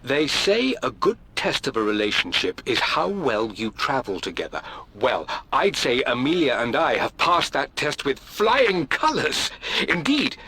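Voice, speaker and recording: British man, eager gentleman archaeologist, lively swooping delivery, bright voice, game journal narration, faint noise. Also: mid-range voice, low-quality recording. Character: adventurous aristocratic archaeologist, fond and eager, reading his journal.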